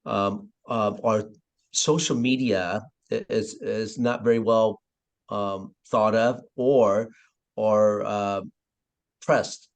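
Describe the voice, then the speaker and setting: A councilmember with a Vietnamese accent, speaking haltingly on a webinar panel with many pauses and throat clearing. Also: male voice, lowish voice, steady tone. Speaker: city councilmember.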